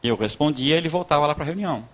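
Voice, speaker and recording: A man with a southern Brazilian accent from Rio Grande do Sul, preaching informally in a conversational, halting storytelling way, repeating words and acting out dialogue. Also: fairly high pitch, lively intonation. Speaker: pastor giving a talk. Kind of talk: informal sermon with personal testimony.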